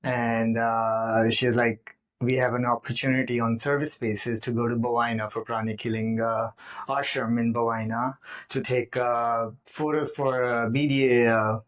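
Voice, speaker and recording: A man who speaks English as a second language, telling his life story slowly on a podcast with many pauses. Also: medium pitch, flat delivery, a bit bright. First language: not English. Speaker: podcast guest. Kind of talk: casual personal storytelling. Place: home studio, indoors.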